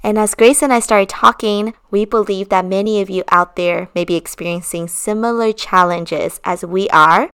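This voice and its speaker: Woman in her thirties, from China, podcast co-host, smooth clear voice, upbeat. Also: medium pitch, fairly even intonation, moderate pace, hardly any pauses, a bit bright. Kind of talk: casual scripted chat.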